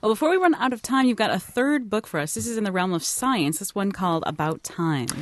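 A woman hosting a radio call-in show, brisk and friendly, clearly paced. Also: medium pitch, animated, no echo. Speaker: radio talk show host.

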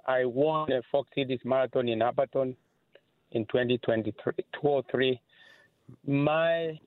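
A man with a Kenyan accent asks his question over a tinny, phone-like line. His voice sits in a middle register, and he pauses often to search for words. The sound is dry and close. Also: medium pitch, no room sound. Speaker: caller.

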